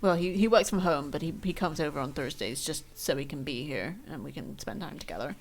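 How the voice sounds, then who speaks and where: A woman's voice: low voice, expressive, a little fast. A young woman streaming from home, chatting casually and rambling in a self-deprecating way. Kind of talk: casual chat to stream viewers. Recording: faint music behind.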